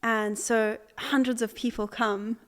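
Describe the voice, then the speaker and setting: A young woman from Zimbabwe, an artist, answering interview questions in a relaxed way with many pauses, fillers and restarts. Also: on the high side. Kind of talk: conversational interview.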